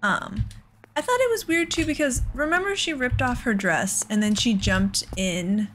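A woman's voice: on the high side, expressive, normal speed, a bit bright, a little hiss or hum. A woman giving casual let's play commentary, thinking aloud in an exasperated way. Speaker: gaming host.

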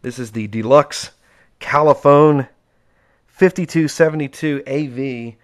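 A man's voice: medium pitch, measured pace. Chatty man demonstrating gear. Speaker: presenter demonstrating equipment. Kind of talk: casual unscripted product demonstration. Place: indoors at home, close-up tabletop demonstration.

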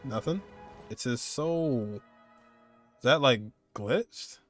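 A man's voice: mid-range voice, expressive, very slow. A man in his twenties giving casual live reaction commentary as he streams a game. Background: game music.

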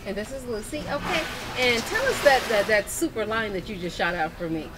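A woman's voice: fairly high pitch, expressive, brisk, music in the background. A woman hosting an upbeat on-location interview, often echoing and repeating phrases, recorded in a large indoor hall. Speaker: interviewer, show host.

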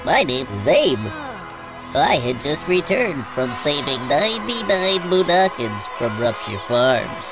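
An actor playing a gentle, timid alien former slave who tells his story earnestly and hesitantly in a video game cutscene. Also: fairly high pitch, very animated, measured pace, rough recording. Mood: earnest narrating. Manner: hesitant, plain-spoken, earnest. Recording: game audio with loud music behind.

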